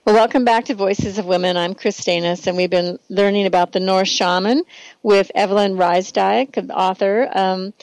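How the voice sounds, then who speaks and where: A woman's voice: medium pitch, fairly even intonation, moderate pace, darkish tone, low-quality recording. A woman hosting a talk show in a casual interview style, speaking in a loose, hesitant, conversational way. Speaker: radio show host.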